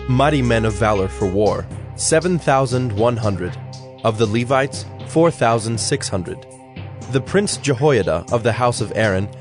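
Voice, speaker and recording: A man narrating dramatized scripture in a solemn, measured, formal way. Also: medium pitch. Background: music.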